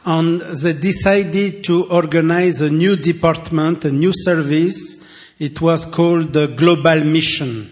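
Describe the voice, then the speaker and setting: A man from France with a French accent, addressing a congregation in simple, halting English and pausing for an interpreter. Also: on the high side, steady tone. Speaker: visiting church speaker and ministry director.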